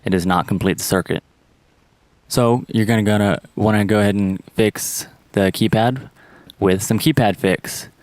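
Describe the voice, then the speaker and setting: Low-voiced man giving repair instructions. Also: normal speed, a little mellow, dry recording. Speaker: how-to presenter. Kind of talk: step-by-step repair tutorial narration.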